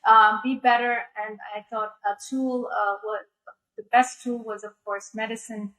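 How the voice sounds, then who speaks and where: A woman from Egypt who was raised in the US and speaks, answering interview questions on a video call in a measured way with many fillers. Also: a little high, steady tone, fairly bright tone, poor audio. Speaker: guest speaker.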